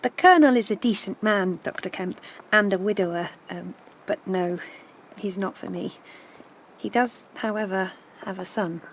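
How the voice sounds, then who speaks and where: A woman as a soft-spoken, hesitant young woman. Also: medium pitch, moderate pace, a little hiss or hum, close to an everyday voice. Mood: shy, hopeful. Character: modest, hesitant young country woman, newly engaged. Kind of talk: audio drama acting.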